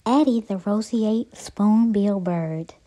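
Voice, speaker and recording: A woman's voice: mid-range voice, slow pace, mellow. A woman reading a children's storybook aloud expressively, as a storyteller.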